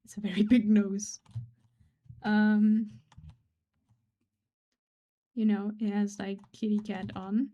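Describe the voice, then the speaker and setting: Rambling woman streamer, mid pitch. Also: little pitch movement, takes it slowly, long pauses. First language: German. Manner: rambling, self-deprecating, trails off into quiet mumbles. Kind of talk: casual live-stream chat while drawing.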